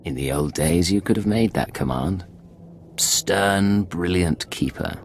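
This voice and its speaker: An actor playing a composed, guarded male spy in a video game, with gravelly, clipped, deadpan delivery. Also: sits low, slow pace, a little hiss or hum. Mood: dry, confiding. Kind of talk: video game cutscene acting.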